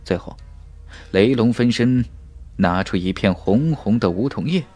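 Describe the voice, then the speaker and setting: A man's voice: fairly low pitch, expressive, measured pace, darkish tone. A man reading a fantasy audiobook as a scripted, dramatic narration with emphatic storytelling. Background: background music.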